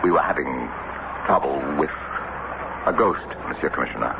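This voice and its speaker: Anxious formal husband in old radio play, very low voice that swings up and down, theatrical. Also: male voice, animated, normal speed, vocal fry, full character voice. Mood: flustered, insistent. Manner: theatrical, hesitant, exclamatory. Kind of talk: radio drama acting. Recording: noisy muffled old radio broadcast.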